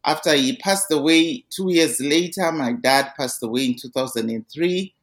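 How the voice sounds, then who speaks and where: A woman's voice: low voice, moderate pace. A middle-aged woman from Zimbabwe with a Zimbabwean accent, earnestly recounting her life story as a podcast guest over a remote call. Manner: earnest, steady storytelling with small restarts. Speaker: interview guest.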